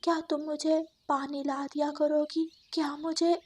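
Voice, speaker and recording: A woman voicing a naive, trusting but stubborn female goat in an animated moral story. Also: high-pitched, unhurried, a little hiss or hum, pitched above the performer's own voice. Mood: trusting, firm.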